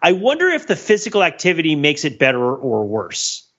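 Higher-voiced man rambling casually, dull audio. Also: huge pitch swings, fairly quick, a bit bright. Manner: rambling, self-interrupting, thinking aloud. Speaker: podcast host. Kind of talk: casual conversational discussion.

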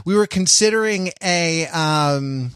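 A man co-hosting a podcast in casual chat, talking in a halting, self-interrupting, jokey way. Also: on the high side, fairly bright tone, smooth, dry recording, studio quality. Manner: jokey, teasing. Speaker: podcast co-host.

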